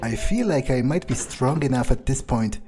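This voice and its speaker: A man voicing a power-hungry, menacing vampire in a grandiose, brooding in-character monologue for a game playthrough, with trailing pauses. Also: mid-range voice, expressive, warm tone, soft background music, close to an everyday voice. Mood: brooding, scheming. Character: power-hungry, menacing male vampire, musing coldly on revenge.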